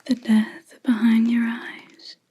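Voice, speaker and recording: A woman playing a calm, menacing vampire hunter in an ASMR roleplay, whispering breathily and deliberately, close to the microphone. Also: a little high, breathy edge. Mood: quiet menace. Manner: slow, deliberate, quietly accusing.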